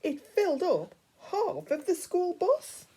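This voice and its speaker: Slow, animated woman reading a children's story. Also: very high-pitched. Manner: dramatic storytelling, dropping to hushed breathy tones. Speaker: teacher reading aloud. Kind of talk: expressive children's picture-book reading.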